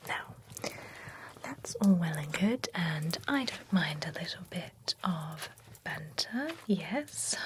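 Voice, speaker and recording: A woman's voice: mid-range voice, poor audio. A woman voicing a chatty, prim female vampire who grooms werewolves, whispering close to the mic in an ASMR roleplay. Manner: whispered, gossipy and fussy. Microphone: close sensitive ASMR mic.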